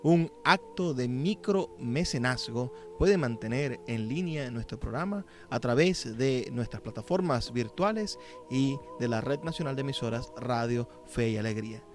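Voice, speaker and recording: A man's voice: medium pitch, animated, normal speed, few pauses. A man reading a scripted promotional announcement for a radio programme. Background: music.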